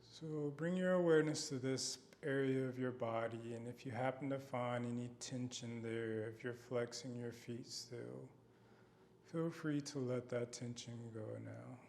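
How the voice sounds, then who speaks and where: A man guiding a body scan meditation in a gentle, unhurried manner with long pauses. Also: medium pitch, fairly even intonation, creaky. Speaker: meditation guide.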